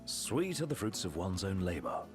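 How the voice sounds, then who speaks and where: A fairly low, hugely expressive British retainer, refined and wry. His courtly game-character delivery is articulate, with music clearly behind it. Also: moderate pace. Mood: gently wry. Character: refined, attentive young male royal retainer, courtly and wry. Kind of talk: video game cutscene voice acting.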